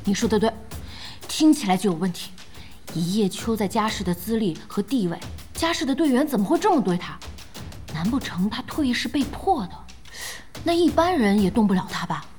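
An actress playing an excitable young female gaming fan in an audio drama, expressive and exclamatory. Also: medium pitch, fairly quick, close to an everyday voice. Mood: thoughtful. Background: background music.

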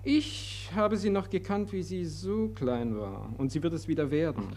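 An actor playing a biting, sardonic pessimist with pointed, caustic delivery in a 1940s radio play. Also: male voice, on the high side, lively intonation, normal speed, darkish tone. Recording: old radio recording, faint hiss.

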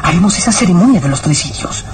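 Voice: medium pitch, lively intonation, fast, lightly acted. An actor playing an anxious, loyal young boy in an old radio serial, earnest and pleading. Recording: faintly noisy old radio recording.

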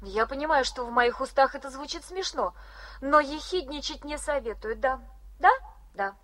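An actress playing a frank, gentle young woman student from Yakutia who speaks softly and earnestly. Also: sits high, normal speed. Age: young adult. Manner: soft, earnest, often hushed. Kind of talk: 1980s radio drama acting.

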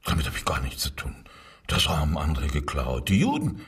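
A man playing a cornered, evasive murder suspect, halting and defensive, in a radio crime drama. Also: a bass voice, wildly swooping pitch, fairly bright tone, husky, far from the mic, heavily acted. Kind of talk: German radio drama dialogue.

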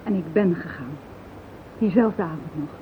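Through a tinny radio recording with faint noise comes a timid, anguished widow played by an actress in a radio play. She has a Netherlands Dutch accent. She speaks haltingly, repeating words as she pleads to be understood. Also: fairly low pitch, close to an everyday voice. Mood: subdued, explaining. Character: fragile, lonely widowed woman, timid and anguished. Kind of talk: radio drama acting.

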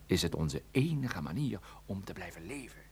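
A man playing a wry, stubborn private detective in a Dutch radio play, explaining things in a conversational, halting way. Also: low voice, sing-song, creaky, slightly rough, heavily acted. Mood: urgent, resolute. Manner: conversational, hesitant interjections, explanatory. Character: wry, stubborn male private detective, injured but determined.

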